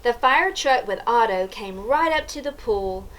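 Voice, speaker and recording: A woman reading a story aloud to young children in an expressive, deliberate way, inviting them to join in. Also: a little high, poor audio. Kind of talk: read-aloud storytelling for preschoolers.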